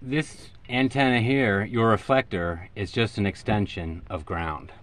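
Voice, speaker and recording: An American man in his forties explaining things in a casual, informal vlog style, with emphatic, halting phrasing. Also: lowish voice, steady tone, a bit bright, slight background noise. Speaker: radio hobbyist video host. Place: inside a parked SUV.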